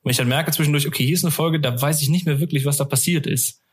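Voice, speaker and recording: A man's voice: on the high side, quick pace, slightly rough. Casual man chatting on a podcast. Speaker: fan podcast host.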